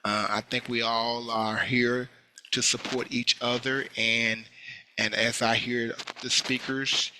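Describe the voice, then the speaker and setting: A man's voice: medium pitch, level tone. Hesitant man on a noisy call. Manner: halting, many fillers and restarts. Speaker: school hearing official. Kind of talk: online workshop check-in. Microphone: video call.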